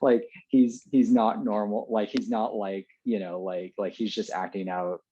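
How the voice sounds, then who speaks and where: A man with an American accent, a podcast guest telling stories in a loose, chatty way, full of fillers and quick laughs. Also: lowish voice, level tone, mellow, husky. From Los Angeles, USA. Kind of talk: casual storytelling. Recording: dull lo-fi sound.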